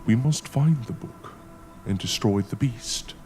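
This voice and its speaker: An actor playing a determined, adventurous scholar in a period horror audio drama, speaking in a formal, old-fashioned, earnest way. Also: male voice, medium pitch, measured pace, a little mellow, soft background music, lightly acted. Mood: earnest, insistent. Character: determined, adventurous male scholar exploring ancient ruins.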